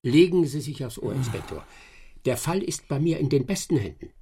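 An actor playing a self-assured, boastful English master detective in a German radio play, pompous, brisk and commanding. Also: male voice, mid-range voice, animated, dark tone, a little hiss or hum, close to an everyday voice. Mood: confident.

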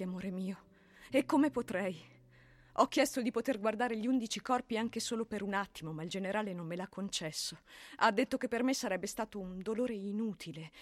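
A woman's voice: medium pitch, dry recording, close to an everyday voice. Actress playing a grieving peasant mother, close and dry. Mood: tender, pleading. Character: grieving middle-aged peasant mother, pleading and tender. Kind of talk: stage-like radio drama monologue.

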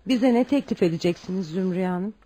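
A woman's voice: mid-range voice, fast, dark tone, close to an everyday voice. An actress playing a poor, proud widowed mother in a Turkish radio drama, polite and devoted. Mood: concerned. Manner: polite, deferential, tender. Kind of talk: Turkish radio theatre acting.

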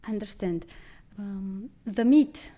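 A woman's voice: medium pitch, slow, dark tone. A woman, a nun answering interview questions hesitantly and nervously. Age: 20s.

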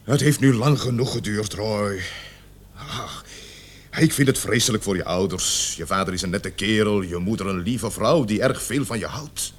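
An actor playing a stern, commanding police officer in a radio play, authoritative and emphatic. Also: male voice, fairly low pitch, moderate pace, lightly acted. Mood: earnest, persuasive. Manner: authoritative, pressing, theatrically emphatic.

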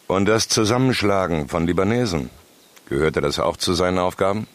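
An actor playing a dry, unimpressed newspaper crime reporter in a German radio drama, understated and probing. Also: male voice, low voice, brisk, close to an everyday voice.

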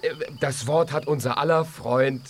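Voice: medium pitch, moderate pace, a little hiss or hum, lightly acted. An actor playing an eager, slightly pompous animal friend acting as host in a children's radio play. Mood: festive announcing.

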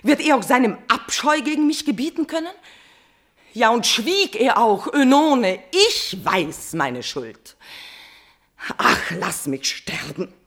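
Husky, tormented tragic queen. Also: on the high side, sing-song, takes it slowly, heavily acted. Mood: panicked guilt. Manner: impassioned, swinging from hushed despair to outcry. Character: tormented, guilt-ridden queen in classical tragedy. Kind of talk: declamatory classical German stage verse acting.

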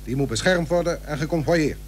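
Male actor as grave merchant statesman, fairly high voice, persuasive, formal archaic diction, radio drama, old humming recording. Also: fairly quick, slight background noise, lightly acted. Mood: urging. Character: Lampsens, grave 17th-century merchant shipowner and statesman, persuasive. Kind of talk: Dutch historical radio play acting.

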